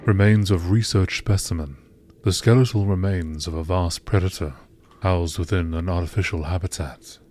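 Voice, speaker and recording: A man reading scripted scientific entries in a measured, matter-of-fact nature documentary style. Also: sits low, steady tone, a little mellow, a little hiss or hum. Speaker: narrator reading game lore entries.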